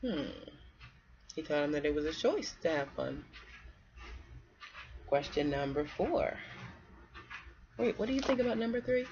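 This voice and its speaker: A woman from North Carolina reading aloud to children in a conversational way, pausing to put questions to the young listeners. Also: sits low, animated, a little mellow, dull audio, slight background noise. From North Carolina, United States. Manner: conversational, asks the listener questions and pauses for answers.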